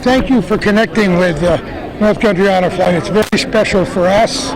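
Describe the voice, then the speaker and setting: Chatty older man, high voice. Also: normal speed, a crowd in the background, low-quality recording. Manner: chatty, teasing, enthusiastic. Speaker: host. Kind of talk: street interviews at an outdoor event. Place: outdoor event with crowd.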